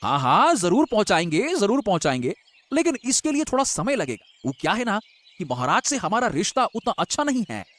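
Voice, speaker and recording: An actor playing a wily, flattering male villager who feigns humble concern in a melodramatic story, theatrical and obsequious. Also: medium pitch, brisk. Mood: feigned helpfulness. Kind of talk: melodramatic storybook audio drama.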